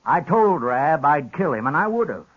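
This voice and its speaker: An American actor playing a stubborn, plain-spoken old rancher with a rural western accent in a 1950s radio western. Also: male voice, on the high side, big pitch swings, moderate pace. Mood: insistent, protective. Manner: plain-spoken, folksy, defiant. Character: stubborn, blunt old rancher, protective father. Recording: dull vintage radio recording.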